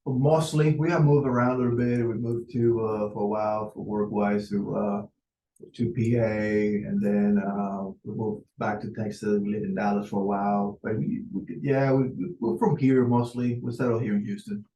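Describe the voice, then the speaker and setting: A man's voice: lowish voice, flat delivery, moderate pace, warm tone, reverberant, dull audio. A man in his forties, from Venezuela, chatting casually as a client on a sales video call, hesitant and full of fillers. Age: 40s. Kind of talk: casual conversation.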